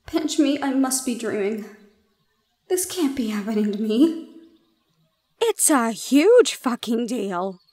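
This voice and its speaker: A woman playing a shy, easily flustered young gorgon student in an audio roleplay, speaking in halting, exclamatory questions. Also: fairly high pitch, very animated, mellow, no room sound, studio quality, full character voice. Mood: flustered, incredulous. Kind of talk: audio roleplay drama acting.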